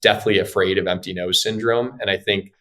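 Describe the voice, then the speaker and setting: A man in his thirties with a fairly low voice, speaking as a surgeon guest on a podcast. He talks through patient cases in an explanatory, conversational way. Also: steady tone, fairly quick, pushed and bright. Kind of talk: casual podcast interview.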